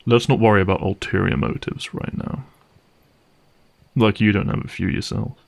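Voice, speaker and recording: A man playing a gruff, cynical vampire hunter for hire in a dramatic audio roleplay, speaking in a wry, sardonic and menacing way. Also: lowish voice, steady tone, normal speed. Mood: guarded, bargaining. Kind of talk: dramatic audio roleplay monologue addressed to listener.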